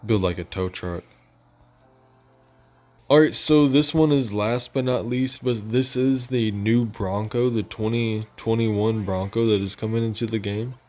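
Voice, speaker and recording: Low-voiced man doing casual gaming commentary. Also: measured pace, mellow. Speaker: gaming channel host. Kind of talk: casual gameplay news commentary. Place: voice-over on gameplay footage. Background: music.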